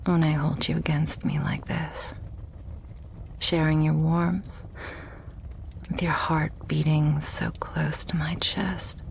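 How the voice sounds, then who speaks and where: A woman playing a loving, possessive vampire girlfriend in an intimate ASMR roleplay, tender and hushed, with breathy sighs between phrases. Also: sits low, little pitch movement, slow pace, pauses often, a little hiss or hum, full character voice. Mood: wistful, tender.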